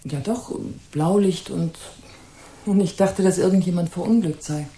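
A woman's voice: fairly low pitch, a little slow, dark tone, close to an everyday voice. An actress playing a haughty, suspicious and scornful married woman in a German radio crime drama. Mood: guarded. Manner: disdainful, imperious, guarded. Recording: roomy echo.

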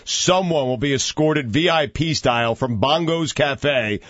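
A man's voice: medium pitch, a little slow, a bit bright, no echo. A man hosting sports talk radio, joking sarcastically and reading station promos. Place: radio studio. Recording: muffled dry studio audio.

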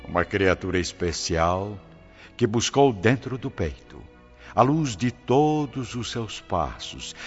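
Male radio soap narrator, grandiloquent, unhurried and slow, dull lo-fi sound. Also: sits low. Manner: solemn, grandiloquent. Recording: dull lo-fi audio with music behind.

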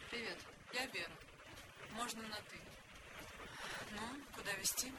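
Actress playing curious woman full of questions, high voice, natural radio drama, loud noisy ambience. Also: measured pace, lightly acted. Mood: casual. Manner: conversational, questioning. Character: curious, questioning woman eager to learn thieving. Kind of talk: naturalistic radio play acting. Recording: loud ambient background noise.

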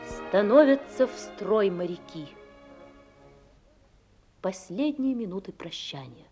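A woman's voice: mid-range voice, expressive, slow, dark tone. A woman narrating a radio play in a lyrical, solemn manner. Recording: music behind.

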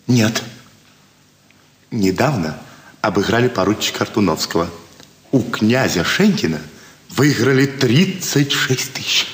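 Fawning inn servant, echoey room. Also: male voice, fairly low pitch, very animated, measured pace, long pauses. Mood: servile, confiding. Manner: servile, folksy, deferential. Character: obsequious, wily male inn servant. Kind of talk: theatrical classic stage comedy acting.

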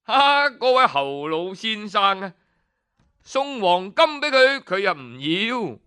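A man with a Cantonese accent telling a story in an animated, colloquial, dramatic traditional style full of interjections. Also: high-pitched, slow, smooth.

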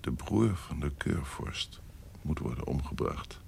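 An actor playing a scheming, lecherous courtier in an audio period drama, insinuating and savouring his words, often dropping to hushed tones. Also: lively intonation, measured pace, a little hiss or hum, full character voice. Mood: conspiratorial. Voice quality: deep, gravelly. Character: scheming, lecherous male courtier and secret conspirator. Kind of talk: audio drama acting in Dutch period piece.

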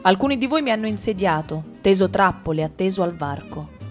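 A woman with an Italian accent reading a radio drama in a lively, ironic way. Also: medium pitch, fairly quick, faint music behind.

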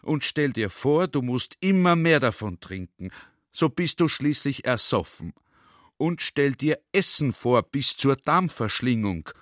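A man's voice: mid-range voice, animated, measured pace, fairly bright tone, no room sound, studio quality. An actor playing a talkative, earnest army general in a radio play, speaking conversationally as if to a comrade and checking that he follows. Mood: insistent, lecturing.

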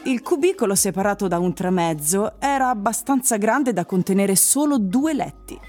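An actress reading literary narration as the narrator of an Italian dystopian radio drama. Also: female voice, mid-range voice, expressive, moderate pace, a little mellow, faint music behind, natural voice. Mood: narrative.

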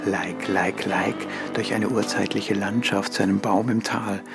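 A man's voice: on the low side, fairly even intonation, a little slow, gravelly. A man performing as the narrator of a scripted spoken-word piece, describing action like a screenplay. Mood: narrating. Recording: music under the voice. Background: music.

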